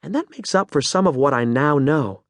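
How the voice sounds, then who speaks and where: A man's voice: fairly high pitch, animated, moderate pace, no room sound, clean studio sound. A man voicing a wry, reflective young woman as she types her private log in an audiobook. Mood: hopeful. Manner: wry, musing first-person reflection.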